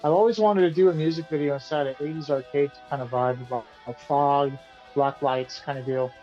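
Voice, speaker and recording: A man, the band's singer and guitarist, answering interview questions in a relaxed, rambling way and often repeating himself. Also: fairly high pitch, a little fast, dull audio, soft background music. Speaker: band vocalist and guitarist being interviewed.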